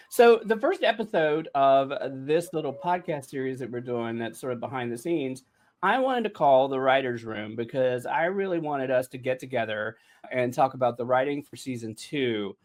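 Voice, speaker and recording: A man hosting a casual behind-the-scenes podcast conversation, talking in a chatty, jokey way. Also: mid-range voice. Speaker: podcast host and showrunner.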